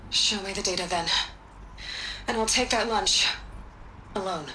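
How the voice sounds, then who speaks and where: A middle-aged scientist in a game cutscene, blunt and commanding. She cuts straight to the point and gives no ground. Her pitch sits in a middling range. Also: slow pace, pushed and bright, slight background noise, low-quality recording. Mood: stern.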